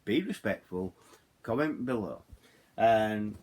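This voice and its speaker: A middle-aged or older man with a Yorkshire accent gives a casual, unscripted product review, haltingly, often repeating words and phrases. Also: fairly low pitch, expressive, darkish tone. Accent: Yorkshire English. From Yorkshire, England. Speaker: hobbyist video host reviewing radios.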